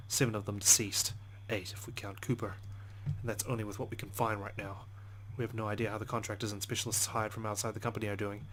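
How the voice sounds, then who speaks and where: A man's voice: fairly low pitch, a little hiss or hum, rough recording. A man hosting a let's play, reading visual novel narration expressively with side comments and frequent swearing.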